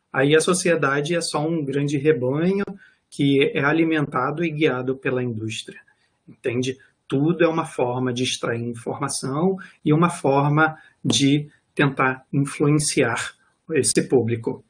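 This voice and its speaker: A man with a Brazilian Portuguese accent giving an earnest online lecture over a video call, pausing often with hesitations. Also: mid-range voice, slightly rough. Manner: earnest explanatory, frequent hesitations.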